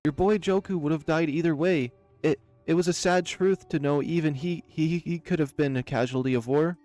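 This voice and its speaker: A man's voice: fairly high pitch, steady tone, normal speed, a little mellow, faint music behind, lightly acted. A man voicing a cowardly rooster baron in a visual novel, stammering and pleading desperately. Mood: nervous excuses.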